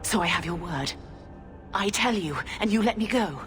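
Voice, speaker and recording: A woman's voice: medium pitch, lively intonation, normal speed, fairly bright tone, faint music behind, lightly acted. An actress playing a haughty villainous female mage in a video game, cornered and bargaining with urgency. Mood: wary. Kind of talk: dramatic video game acting.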